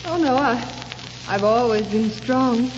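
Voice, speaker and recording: Frail, faltering wife, slightly high-voiced. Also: female voice, slow. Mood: timid, faltering. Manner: faltering, plaintive, hesitant. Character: frail, timid, anxious invalid wife and mother. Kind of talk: 1930s radio drama acting. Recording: muffled, hissy 1930s radio recording.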